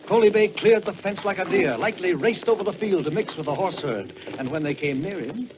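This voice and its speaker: A man telling a story in a dramatic 1940s radio style, theatrical and emphatic, with deliberate pauses for effect. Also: sits high, animated, noisy background. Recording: dull old-time radio recording with music.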